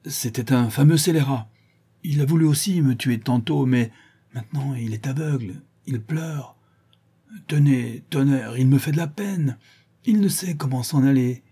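A man voicing a rough but compassionate storybook character who speaks in an earnest, plain-spoken way. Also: medium pitch, unhurried, warm tone, voice pushed up. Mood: pitying. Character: rough, savage-looking man, compassionate and honest.

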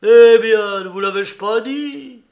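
A man doing a loud, mocking old buffoon. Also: sits high, animated, slow pace, lo-fi sound, voice pushed up, heavily acted. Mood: gleeful shouting. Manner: shouting, gleeful, theatrical.

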